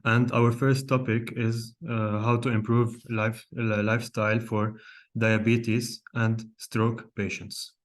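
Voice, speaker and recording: A man's voice: medium pitch, little pitch movement, takes it slowly. A young man from Lebanon, a cardiologist, giving a live online lecture over a video call, hesitant with many fillers and restarts and questions to his audience. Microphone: computer mic on a video call.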